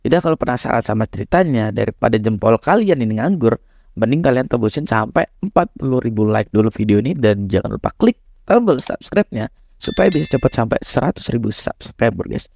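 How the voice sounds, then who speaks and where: A young man, hoarse and nasal from a cold, chatting casually to viewers as he tells a horror story. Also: medium pitch, lively intonation, fairly quick, darkish tone, no echo. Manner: chatty, addresses viewers as guys. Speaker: horror storyteller, channel host.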